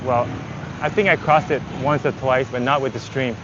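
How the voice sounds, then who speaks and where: Man livestreaming over loud street traffic. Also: medium pitch, steady tone, normal speed, highs cut off. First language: Vietnamese. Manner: rambling, conversational, thinking aloud. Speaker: livestream travel vlogger. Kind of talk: casual walking livestream chat. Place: outdoors on a busy city street. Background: traffic, vehicles, urban street noise.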